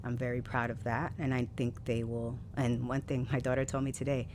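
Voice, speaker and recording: Poet guest, New woman, very low voice, candid and lively, faint noise behind. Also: a little fast. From New York City. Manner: candid, animated storytelling with frequent laughs. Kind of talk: casual interview conversation.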